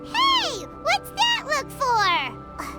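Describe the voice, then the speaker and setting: A tiny, excitable fairy companion from a video game. The voice is extremely high and childlike, with unhurried, lively, theatrical delivery and a bossy streak. Loud music plays behind it. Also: female voice, heavily acted. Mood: bossy, expectant. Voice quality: squeaky, childlike. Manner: speaks of herself in third person, theatrical.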